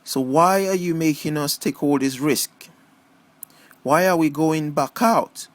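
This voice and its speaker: A man's voice: on the high side, unhurried, pitched above the performer's own voice, close to an everyday voice. A man voicing a young woman survivor in an audiobook, a questioning, needling friend. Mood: worried, questioning.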